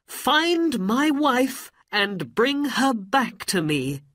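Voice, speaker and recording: A woman's voice: fairly high pitch, sing-song, drawn-out words, a bit bright, no room sound, clean studio sound, pitched above the performer's own voice, heavily acted. A woman voicing a humble, lovelorn young man in a storybook, plaintive and pleading.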